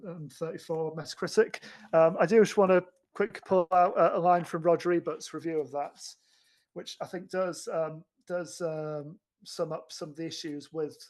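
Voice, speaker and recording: A man's voice: on the high side, a little mellow. A man chatting casually as a podcast host about films, with a hesitant delivery full of repeated restarts. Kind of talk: casual chat reviewing films.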